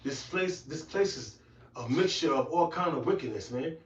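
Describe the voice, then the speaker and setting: A man's voice: fairly high pitch, animated, moderate pace, distant mic, slight background noise. A man giving insistent scripture commentary as a co-teacher, tagging his statements with casual interjections. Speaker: preacher, co-teacher. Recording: dull, roomy, off-mic.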